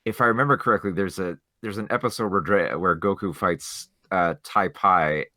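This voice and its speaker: A man with a deep voice and a bright, forceful edge chats as a podcast co-host. He explains things in a loose, rambling, casual way. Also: normal speed, dry recording, studio quality. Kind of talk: casual group chat about pop culture.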